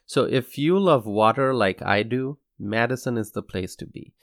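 A young man from Bangladesh hosting his own podcast, speaking in an earnest, measured scripted monologue. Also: medium pitch, dry recording, clean studio sound. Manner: measured, earnest, slow deliberate delivery. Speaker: podcast host.

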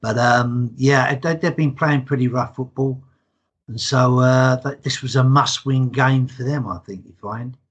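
Retired English man in his sixties, slightly husky, telling his stories casually and plainly. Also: medium pitch, measured pace. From England. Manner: chatty storyteller, relaxed and matter-of-fact. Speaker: podcast guest. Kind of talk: casual interview chat.

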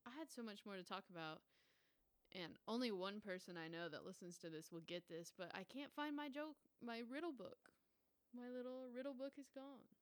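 A woman's voice: a little high, normal speed, clean tone. Profane, rambling woman in her twenties. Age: 20s. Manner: casual, profane, rambling with fillers. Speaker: podcast host. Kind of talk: casual solo podcast rant.

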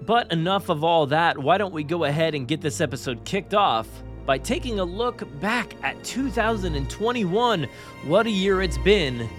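A man's voice: high-pitched, lively intonation, moderate pace, fairly bright tone, faint music behind. A man hosting a podcast in a casual, enthusiastic, chatty and informal way. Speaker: podcast host.

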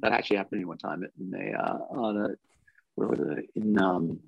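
A man from France talking casually as an interview guest, halting and thoughtful and often chuckling. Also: deep voice, steady tone, gravelly, no room sound, highs cut off. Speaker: interview guest, singer-songwriter and actor.

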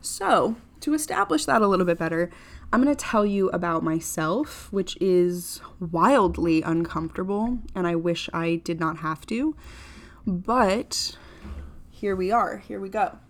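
A woman's voice: on the low side, expressive, unhurried. Chatty young woman hosting a podcast. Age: 20s. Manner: chatty, upbeat, self-deprecating with many fillers. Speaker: podcast host. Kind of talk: casual solo podcast monologue.

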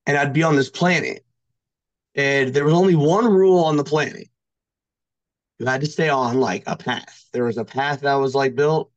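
A man's voice: mid-range voice, moderate pace, no room sound. Rambling man, slightly dull recording. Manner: rambling, heavy use of "like", hesitant. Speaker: podcast guest. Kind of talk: casual chat, personal storytelling.

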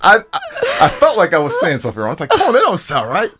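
A man's voice: on the high side, huge pitch swings, quick pace, fairly bright tone, husky. A man co-hosting a podcast in casual chat, with slangy, teasing banter. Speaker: podcast co-host.

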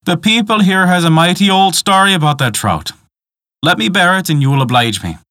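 A man's voice: sits high, animated, fairly quick, bright, forceful tone, dry recording, studio quality, pitched above the performer's own voice. Man voicing a superstitious old peasant woman. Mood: coy, folksy. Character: old Irish peasant woman guide, superstitious, reverent storyteller. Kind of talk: storybook dialogue in dialect.

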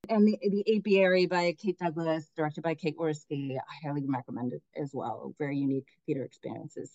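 Middle-aged woman, eager theater director type, lowish voice, dry muffled lo-fi sound. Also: darkish tone, dry recording. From Miami, United States. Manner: enthusiastic, restarts often. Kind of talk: podcast interview.

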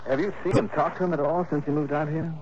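An actor playing an easygoing, shrewd insurance investigator in an early 1960s radio drama, with a probing, wry, conversational manner. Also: male voice, fairly high pitch, fairly even intonation, quick pace, darkish tone, lightly acted. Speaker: lead actor and narrator. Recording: muffled, noisy old radio broadcast.

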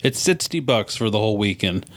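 A man's voice: lowish voice, animated, fairly quick. A man co-hosting a podcast, chatting casually and informally with plenty of easy slang. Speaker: podcast co-host. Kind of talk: casual chat.

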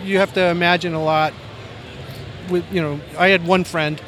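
An American man in his sixties from Maine with a soft voice, reminiscing casually and a bit ramblingly in an interview. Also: high voice, fairly even intonation, normal speed, low-quality recording. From Maine, United States. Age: 60s. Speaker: comic book artist, interview guest. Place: busy convention floor. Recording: faint convention floor noise.

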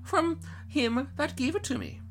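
A man's voice: extremely high pitch, expressive, measured pace, voice pushed up, exaggerated voice. A man voicing a spirited, self-assured young servant heroine in a storybook, assertive, with music behind. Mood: sweet, assured. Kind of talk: animated storybook reading.

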